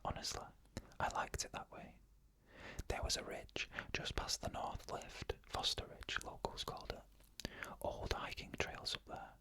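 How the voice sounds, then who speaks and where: An ASMR storyteller who reads eerie first-person stories in a soft, unvoiced whisper, with measured pauses between short phrases.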